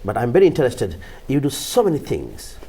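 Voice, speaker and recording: A man's voice: mid-range voice, huge pitch swings, a little fast, dark tone, breathy edge. A man hosting a television panel discussion, putting questions to his guests in a conversational way. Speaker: TV talk show host.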